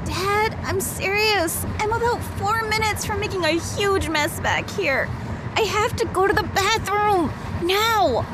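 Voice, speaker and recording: A woman playing a whiny, urgent young child on a car trip in a comedy audio drama, pleading in a drawn-out whine. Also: extremely high pitch, lively intonation, background noise. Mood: desperate, whiny.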